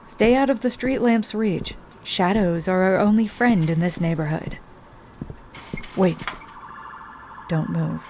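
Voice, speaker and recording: A woman voicing a hardened female vampire hunter who bosses a rookie around in an audio roleplay, speaking in a low, commanding, teasing way that often sinks to a hush. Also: slow, pauses often, slight background noise. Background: faint ambient soundscape.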